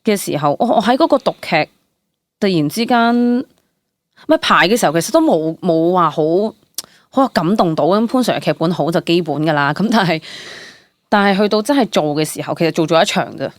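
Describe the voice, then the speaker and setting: A woman's voice: fairly low pitch, fairly quick, dry recording, clean studio sound. A woman in her late twenties with a Hong Kong Cantonese accent, chatting casually as an interview guest, exclaiming as she tells things and mixing in English words. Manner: animated storytelling with exclamations, English words mixed in. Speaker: guest, stage actress. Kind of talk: casual personal interview chat.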